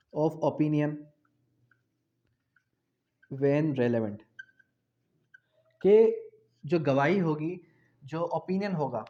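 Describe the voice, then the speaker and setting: A man giving an explanatory law lecture, repeating key phrases slowly and asking rhetorical questions in a didactic way. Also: fairly high pitch, a little mellow. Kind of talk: explanatory law lecture, mixing in English terms. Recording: dull, muffled lo-fi recording.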